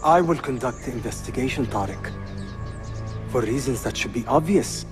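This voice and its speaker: A man's voice: medium pitch, animated, moderate pace, over music, lightly acted. Man acting a poised, shrewd young prince. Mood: firm, courteous. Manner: composed, authoritative. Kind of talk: video game cutscene acting.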